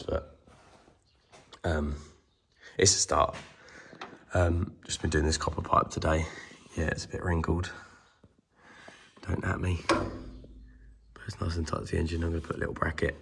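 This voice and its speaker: A man with a cold, croaky and hoarse, chatting casually with lots of ums while filming a walkaround of his truck restoration in a workshop. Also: extremely low pitch, moderate pace, long pauses, a little hiss or hum. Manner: relaxed, many ums, trailing off.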